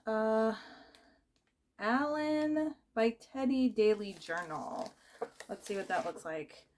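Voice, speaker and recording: A woman's voice: on the high side, slow, a little mellow. Woman with a cold, chatty unboxing. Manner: chatty, rambling, many fillers and restarts. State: has a cold, losing her voice. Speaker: vlogger. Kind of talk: casual unboxing chat.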